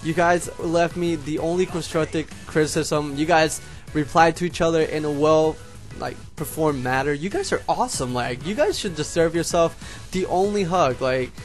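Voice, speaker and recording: A man's voice: fairly high pitch, moderate pace, faint music behind. Young puerto rican guy w a slight lisp, spanish first language, rambly vlog chat to his homies. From Puerto Rico. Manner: rambling, talks straight to viewers as homies. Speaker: YouTuber. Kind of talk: casual vlog monologue.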